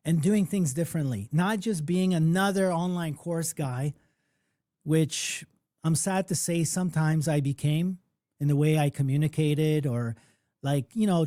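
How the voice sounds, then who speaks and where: A man originally from Poland hosts a live online workshop, talking fast and loose like he's chatting with friends, checking in with his listeners as he goes. His voice sits a little high for a man, yet the tone is soft and mellow, and the sound is close and dry, with no room around it. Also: no room sound. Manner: conversational, animated storytelling with frequent "okay?" and "right?". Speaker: music producer and mentor hosting a workshop.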